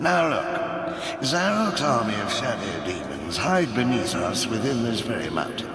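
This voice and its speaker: Loud music plays under a pompous old king. He speaks in grand, unhurried phrases with wide melodic swings, flattering and condescending, in theatrical video game style. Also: lowish voice, heavily acted. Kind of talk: video game character acting.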